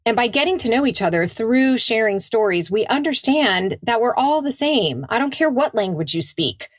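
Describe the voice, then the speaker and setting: A woman co-hosting a podcast, excitable in casual chat, swearing freely and laughing. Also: a little high, moderate pace, no room sound, studio quality. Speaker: podcast co-host.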